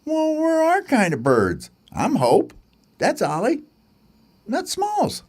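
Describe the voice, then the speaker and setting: A man's voice: very high voice, huge pitch swings, slow, a little mellow, pitched above the performer's own voice, heavily acted. A man voicing Hope, a cheerful, naive pet bird in a children's story. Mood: eager.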